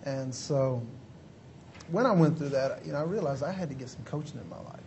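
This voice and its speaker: A man's voice: a little high, a little fast, darkish tone, dull audio, a little hiss or hum. Earnest man from Mexico telling his story. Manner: earnest, lots of "you know" fillers, polite "yes sir". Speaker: motivational speaker, guest. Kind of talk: interview answers.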